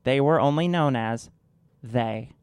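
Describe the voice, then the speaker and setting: A man's voice: medium pitch, takes it slowly, darkish tone, clean tone, no echo. A man playing a grave documentary war narrator in a satirical radio drama, portentous and dramatic scripted narration.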